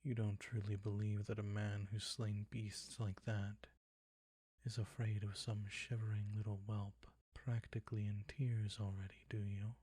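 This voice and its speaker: Male actor as older vampire count, possessive and condescending, dark mellow tone, close dry sound, theatrical formal phrasing, whispery roleplay. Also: flat delivery, measured pace, no room sound. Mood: smug, coaxing menace. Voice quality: husky, breathy, low. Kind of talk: intimate scripted roleplay audio, ASMR-like.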